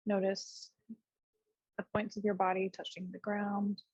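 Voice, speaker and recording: A woman teaching yoga and guiding a meditation in a gentle, unhurried way, leaving pauses between short phrases. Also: medium pitch, completely flat delivery, husky, no echo, dull audio. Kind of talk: guided meditation, unscripted class instruction.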